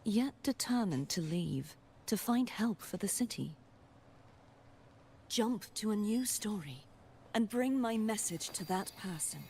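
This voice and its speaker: A woman voicing a solemn, steadfast mentor in a video game, speaking gravely and encouragingly. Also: mid-range voice, animated, a little slow, a little mellow, a little hiss or hum. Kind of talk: video game story dialogue.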